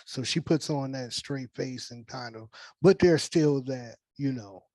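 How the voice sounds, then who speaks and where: A man's voice: medium pitch, unhurried, warm tone, dry recording. A man leading a casual group discussion on a video call, explaining his views in a blunt, profane way. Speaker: director leading a table read discussion. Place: indoors on a virtual meeting.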